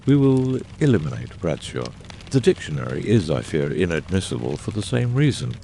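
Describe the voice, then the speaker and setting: A man playing a brilliant, exacting, eccentric detective in a dramatised audiobook, speaking with precise, erudite phrasing and deliberate pauses. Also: low voice, very animated, vocal fry, dark tone, slight background noise, exaggerated voice. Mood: analytical. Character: brilliant, eccentric middle-aged male detective, exacting and theatrical.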